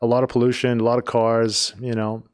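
A man's voice: medium pitch, flat delivery. Earnest Iranian-born man, podcast host. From Iran. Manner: earnest, pauses and restarts often. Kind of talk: casual podcast conversation.

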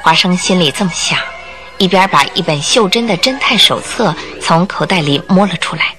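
A woman narrating a radio drama as an expressive storyteller with scripted, dramatic delivery. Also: on the low side, normal speed. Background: background music.

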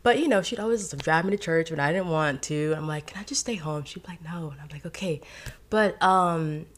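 Young woman from Haiti, podcast guest, lower voice, candid and easygoing with frequent laughs. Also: a little fast. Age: 20s. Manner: candid, laughs often, many "like" fillers. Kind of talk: casual personal story.

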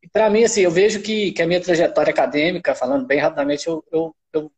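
A man's voice: fairly high pitch, animated, fast talker, darkish tone. A man from Minas Gerais with a Minas Gerais accent, chatting as a researcher guest on a podcast, talking freely with lots of tag questions. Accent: Minas Gerais Brazilian Portuguese. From Minas Gerais, Brazil. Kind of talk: casual conversational podcast.

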